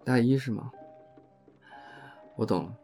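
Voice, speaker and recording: A man's voice: mid-range voice, unhurried, gravelly, dark tone, soft background music. A man playing a reserved, aloof young insurance adjuster with a restrained, formal manner in an audio drama. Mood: subdued, hurt. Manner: restrained, formal, matter-of-fact.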